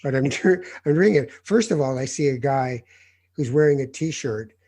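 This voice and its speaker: A middle-aged man, a journalist born in Morocco, telling lively anecdotes in casual conversation and mimicking the people he quotes. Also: mid-range voice, normal speed, breathy edge, no room sound.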